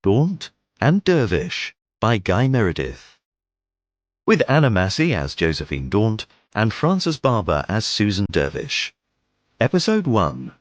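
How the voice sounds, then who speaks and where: A man's voice: lowish voice, wildly swooping pitch, unhurried, no room sound. A man announcing the title and cast of a radio drama as programme announcer.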